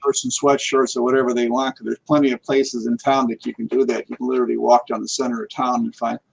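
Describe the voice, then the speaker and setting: A man's voice: mid-range voice, flat delivery, fast, creaky, husky, low-quality recording. A man in casual conversation as a guest paranormal investigator on a live talk show, rambling and repeating words as he goes.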